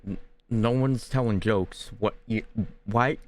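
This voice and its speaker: A man's voice: fairly low pitch, slow. A man streaming a game, chatting casually and joking at the characters. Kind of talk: casual gameplay commentary.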